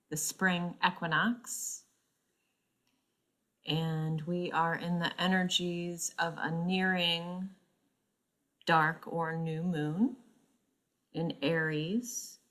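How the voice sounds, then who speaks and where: Very slow-paced woman guiding meditation. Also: fairly low pitch, fairly bright tone. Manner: measured, pausing often mid-phrase. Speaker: yoga nidra teacher.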